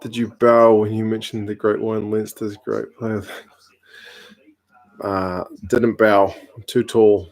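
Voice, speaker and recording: A New man in his mid-thirties chats easily on a home live stream, his voice sitting a little low. He answers viewer comments in a laid-back, wry way, with a conversational rhythm. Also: slow pace. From New Zealand. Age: 30s. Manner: relaxed, chatty, dry humour. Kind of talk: casual chat reading viewer comments.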